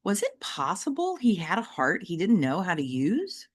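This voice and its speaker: A woman reading a children's novel aloud like an audiobook, with deliberate, emphatic and varied phrasing. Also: medium pitch, huge pitch swings, breathy edge. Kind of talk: expressive audiobook-style storytelling for children.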